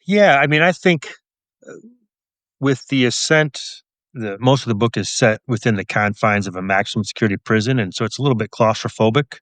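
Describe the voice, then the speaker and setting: A man's voice: mid-range voice, brisk, a bit bright, no echo. A middle-aged man answering as an interview guest in a casual, wry, understated way. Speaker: guest, crime novelist and police officer.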